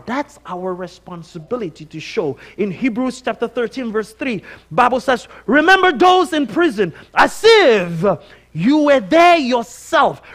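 Male guest preacher, Nigerian accent, high-pitched voice, conversational sermon style with animated, emphatic storytelling. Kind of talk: conversational sermon with personal anecdotes. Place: church sanctuary, on stage before a congregation.

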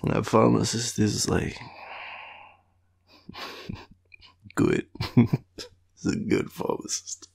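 A man's voice: on the low side, flat delivery, extremely slow, gravelly, pauses often, darkish tone, husky. A tired man with an Australian accent, murmuring and rambling drowsily as a clingy, teasing boyfriend in an intimate roleplay, often half-whispering. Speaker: audio roleplay performer playing a boyfriend.

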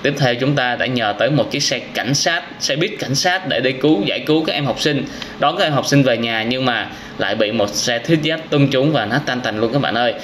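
A man's voice: mid-range voice, brisk, fairly bright tone. A young man with a southern Vietnamese accent giving casual, exclamatory reaction commentary as a gaming host, speaking straight to young viewers. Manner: exclamatory storytelling with frequent wows and addresses to viewers. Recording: clearly noisy with game vehicle sounds and sirens.

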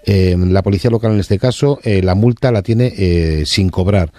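A man's voice: low-pitched, normal speed, mellow, dry recording, studio quality, lightly acted. A man playing a stern, rambling tax office official from in on an improvised prank call, scolding and officious. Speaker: prank caller.

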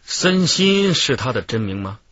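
An actor playing a calm police detective asking terse, probing questions in a radio drama. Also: male voice, medium pitch, unhurried, muffled recording.

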